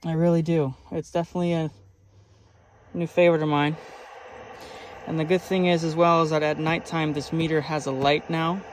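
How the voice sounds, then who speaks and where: A man with a fairly high voice talks through his gear in a casual, informal vlog style. He sounds enthusiastic, like a hobbyist showing things to viewers. There is clear vehicle and ambient noise behind him as he records in and around a truck. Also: flat delivery, normal speed, pauses often. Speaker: vlogger, CB radio hobbyist.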